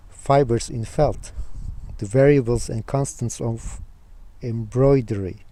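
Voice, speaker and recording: Slow, very dark-voiced Turkish-accented man. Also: medium pitch. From Turkey. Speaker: vlogger reading a book aloud. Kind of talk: casual talk to camera and reading aloud.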